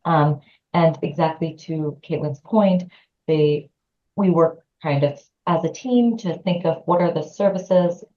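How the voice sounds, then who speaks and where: A woman from Lebanon speaks as an interview guest over dull, lo-fi remote call audio. Her voice is low and mellow. She pauses on small hesitant fillers and sounds thoughtful. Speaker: assistant professor, guest. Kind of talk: casual interview answers.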